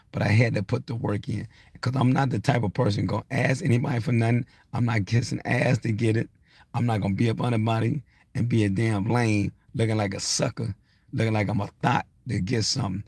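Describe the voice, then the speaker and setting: A man's voice: lowish voice, steady tone, brisk, gravelly, a little mellow, slightly rough, dry recording. A man around sixty giving a blunt, insistent motivational rant, unscripted, hammering points through repetition. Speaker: social media motivational speaker.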